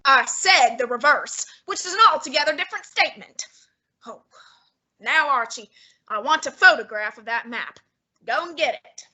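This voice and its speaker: A woman playing a fussy, imperious, heavyset male detective in a student radio drama, speaking in a haughty, deliberate, commanding way with a breathy tone. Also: high-pitched, expressive, pushed and bright, exaggerated voice, rough recording.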